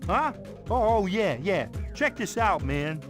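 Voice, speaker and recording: An actor voicing a big, good-hearted, bumbling warthog in a video game, chatty and easily flustered. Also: male voice, high voice, very animated, slow, over music, full character voice. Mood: nervous bluster. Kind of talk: animated video game voice acting.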